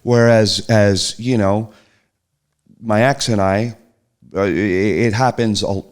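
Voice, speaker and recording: Slow, low-voiced man talking things through. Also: fairly even intonation. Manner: hesitant, restarts and trails off, soft-spoken reflection. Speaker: podcast guest, host's partner. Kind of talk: casual podcast chat.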